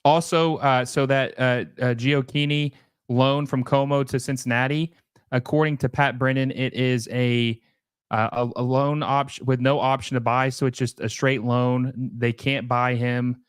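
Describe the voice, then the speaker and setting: A man's voice: medium pitch, level tone, a little slow, smooth, no room sound, studio quality. Rambling man chatting on a live stream. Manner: rambling, many fillers and restarts. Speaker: livestream host. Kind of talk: casual live stream chat.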